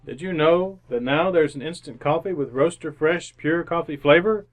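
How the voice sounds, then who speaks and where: Higher-pitched male old-time radio announcer. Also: moderate pace. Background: music.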